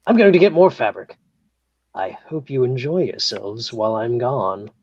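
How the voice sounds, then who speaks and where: A man's voice: medium pitch, sing-song, normal speed, a little mellow, slightly rough, dry recording, dull audio. A man playing a scheming confidant in a play table read, speaking conspiratorially. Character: scheming male confidant leaving lovers alone.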